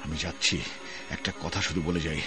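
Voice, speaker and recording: A man's voice: extremely low pitch, steady tone, normal speed, music in the background. A man playing a principled office manager who answers accusations with formal, dignified defiance in a radio drama. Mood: defiant. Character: proud, principled male office manager and writer. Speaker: administration manager. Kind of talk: broadcast radio drama acting.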